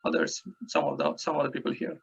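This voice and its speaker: A man's voice: fairly high pitch, sing-song, quick pace, gravelly, a bit bright, husky. A man from Bangladesh, a professor, introducing himself plainly in an online meeting. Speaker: professor, attendee. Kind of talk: self-introduction. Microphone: video call. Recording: muffled call audio.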